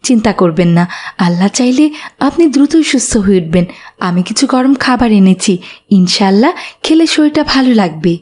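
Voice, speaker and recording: Woman as devout mother, calm, caring, instructive, cartoon acting. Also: medium pitch, brisk, close to an everyday voice. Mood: reassuring. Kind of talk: Islamic cartoon dub acting.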